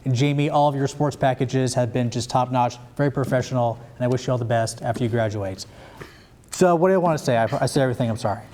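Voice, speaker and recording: A man's voice: medium pitch, quick pace, a little mellow. A young American man anchoring a scripted satirical student newscast. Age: young adult.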